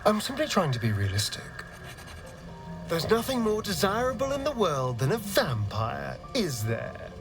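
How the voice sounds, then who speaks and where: An actor playing a vain, wounded male vampire rogue in a video game, with an arch, theatrical, halting delivery. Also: high-pitched, huge pitch swings, over music, heavily acted. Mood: bitter, arch.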